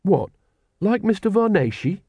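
Man reading innocent tall youth, eager, bewildered, lifted pitch, dry read. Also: big pitch swings, unhurried, vocal fry, dark tone, breathy edge, no echo, muffled recording, full character voice. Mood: earnest. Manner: earnest, bewildered. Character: naive, earnest, very tall young man raised by dwarfs.

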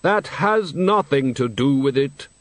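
A man voicing Death as a grave, patient male figure in a storybook reading, speaking slowly and deliberately. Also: mid-range voice, lively intonation, a bit bright, dry recording. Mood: measured. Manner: slow, deliberate and measured.

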